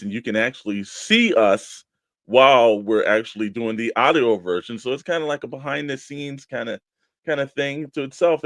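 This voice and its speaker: A male co-host with a medium-pitched voice in a very dry recording. He moves between sponsor-style promotion and conversational chat with his partner. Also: no room sound. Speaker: co-host of a toy collecting radio show.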